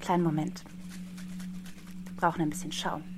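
A woman's voice: low-pitched, level tone, moderate pace, noisy background. A woman in her forties giving a staged, playful performance, teasing and at times dropping to a whisper. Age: 40s.

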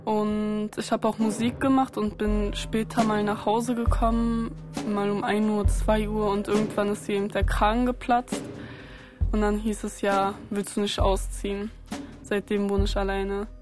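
A young woman from Berlin speaks in an even, level voice with little rise or fall, her pitch sitting mid-range. She talks calmly and reflectively, telling her own story for a documentary. Clear music plays underneath her voice throughout. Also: normal speed. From Germany, Berlin. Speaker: interviewee. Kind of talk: personal documentary interview.

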